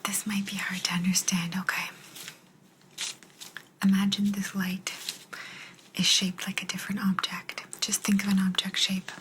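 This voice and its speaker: A woman voicing a kind but aloof alien examiner in an ASMR roleplay, in a breathy whisper with a gentle, halting delivery. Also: medium pitch, slight background noise, lightly acted. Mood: calm, aloof. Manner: slow, halting, gentle, calmly detached.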